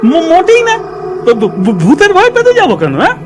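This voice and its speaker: A man acts out a kindly, simple cremation-ground worker in a cartoon ghost story. His voice is high, his speech folksy and stammering, easily flustered and repetitive. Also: wildly swooping pitch, quick pace, loud music behind the voice, exaggerated voice. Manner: stammering, folksy, repeats himself. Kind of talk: animated horror story dialogue.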